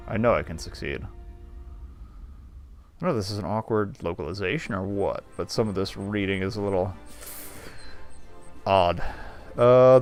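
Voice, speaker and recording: A male game streamer reading visual novel narration aloud, with wry, sardonic asides. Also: fairly low pitch. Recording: faint music behind voice.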